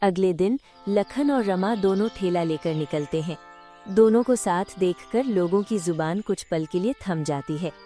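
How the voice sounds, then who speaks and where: A woman narrating a cartoon moral story in a measured storytelling manner. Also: on the low side, over music.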